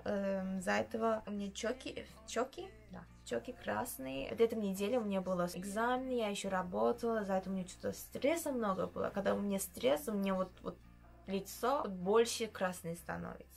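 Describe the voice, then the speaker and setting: A young woman from Uzbekistan with a Turkish-tinged accent in Russian, chatting casually while doing her makeup in her bedroom and often pausing to search for words. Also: mid-range voice, gravelly, faint music behind. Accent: Turkish-influenced Russian. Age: young adult. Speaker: beauty vlogger. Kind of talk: casual makeup vlog chat. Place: small bedroom indoors.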